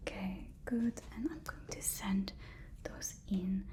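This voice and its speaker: A woman performs an ASMR roleplay as an eager-to-please assistant, almost entirely in a whisper. Her tone is mellow and her pace is unhurried. She speaks softly and hesitantly, often repeating small words. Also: mid-range voice, steady tone. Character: deferential, flattering personal assistant to a super villain.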